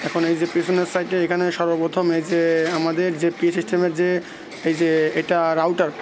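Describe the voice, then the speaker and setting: Man, flat delivery, halting technical demonstration, faint-to-clear background room noise. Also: on the high side. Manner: halting, with repeated false starts. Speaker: technical video presenter. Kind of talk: hands-on equipment walkthrough.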